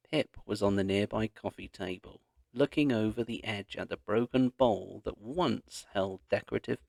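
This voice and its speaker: A man narrating a children's story aloud in an expressive, dramatic storytelling manner. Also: on the low side, creaky, no echo. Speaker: audiobook narrator.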